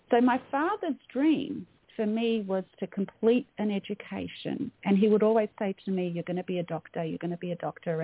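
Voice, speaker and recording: A woman's voice: mid-range voice, no room sound. Australian female coach, podcast guest, dry narrow-band audio, earnest tone. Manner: earnest, reflective, frequent "um" fillers. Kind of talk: podcast interview conversation. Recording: tinny phone-like line, dry.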